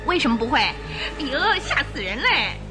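A woman's voice: high-pitched, very animated, moderate pace, over music. An actress playing an anxious young society lady in an old-style radio drama. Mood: anxious, fretful.

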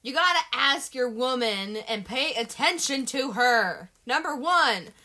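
A woman co-hosting a podcast with a bright, forward tone and a higher pitch. She is playful and keeps the conversation moving from topic to topic. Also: lively intonation, a little slow. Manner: playful, steers the talk. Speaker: podcast co-host. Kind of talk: casual chat.

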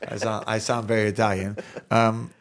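Man, 30s, American-sounding accent, low gravelly voice, reflective and halting, relaxed family chat. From England (London). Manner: reflective, many restarts and self-corrections. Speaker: musician. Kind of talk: casual family conversation.

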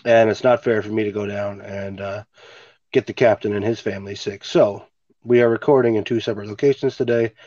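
A man's voice: fairly low pitch, fairly even intonation, fairly quick, darkish tone, no room sound, lo-fi sound. A man hosting a casual podcast from home with a stuffy nose, laid-back and swearing casually. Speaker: podcast host. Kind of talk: casual chat. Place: home, recording remotely. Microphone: remote home mic.

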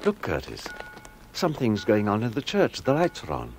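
A man's voice: lowish voice, huge pitch swings, normal speed, over music. A man playing a courteous, thoughtful investigator with dry humour in a radio drama, speaking politely and a little properly. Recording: noisy radio drama recording.

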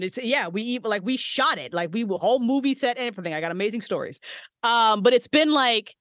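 Excitable, foul-mouthed woman in dry booth. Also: on the high side, no echo. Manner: excited, swears freely, fast restarts. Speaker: podcast guest, writer and voice actress. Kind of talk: casual podcast interview. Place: small handmade vocal booth.

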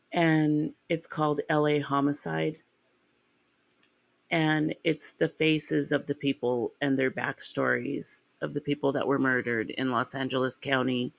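Woman podcast host, sick and raspy, rambling casual delivery, dry close mic. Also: deep voice, no room sound. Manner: rambling and chatty, with ums and restarts. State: raspy from a sinus infection. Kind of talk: casual true-crime podcast narration.

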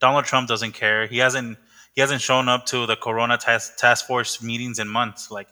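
A young man talks loosely on his own podcast, his voice very bright and forceful. He rambles and circles back, filling gaps with fillers and restarting sentences. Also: mid-range voice, level tone, moderate pace. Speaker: podcast host. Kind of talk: casual chat.

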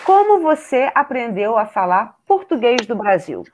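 This is a woman teacher running a school podcast interview, reading prepared questions one after another. Her voice sits a touch high and swings widely in pitch, giving the questions an animated lilt. She speaks clearly and courteously, like someone guiding a classroom. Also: unhurried, over a noisy crowd, heavy background noise.

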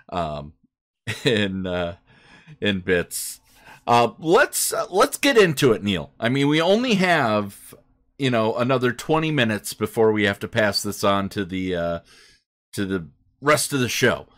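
A man co-hosting a podcast in a casual chat, often pausing between phrases. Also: mid-range voice, fairly bright tone. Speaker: podcast co-host.

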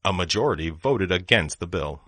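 A man reading short phrases for language learners, saying each one twice in a slow, deliberate way. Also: deep voice, animated, a bit bright. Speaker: language lesson speaker.

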